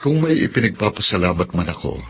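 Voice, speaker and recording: A very deep-voiced, contrite husband. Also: male voice, very animated, fast talker. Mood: grateful, reflective. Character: remorseful dependent husband and son, earnest family man. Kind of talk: Filipino radio drama acting. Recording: dull lo-fi audio under loud music.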